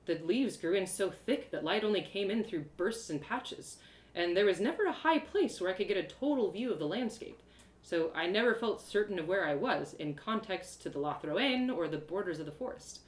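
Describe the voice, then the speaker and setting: A woman voicing a plucky young girl adventurer who narrates her own journey in an expressive, colloquial storybook style. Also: medium pitch, reverberant, lightly acted. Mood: reflective, disappointed. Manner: expressive, colloquial, with exclamations and dramatic pauses. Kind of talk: animated first-person storybook narration, live one-woman audio drama.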